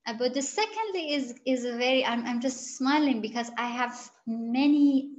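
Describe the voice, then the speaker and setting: Halting woman on a dull call. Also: sits high, darkish tone. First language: Gilaki. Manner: halting, searching for words, many restarts. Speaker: interviewed researcher. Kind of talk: interview answers.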